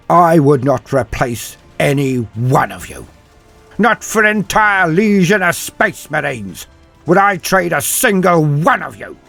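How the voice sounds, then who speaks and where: Man acting out a proud old commander rallying troops, raised and forceful. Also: a little high, gravelly, pitched above the performer's own voice, full character voice. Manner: stirring, emphatic battlefield oratory. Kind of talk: dramatic audiobook speech.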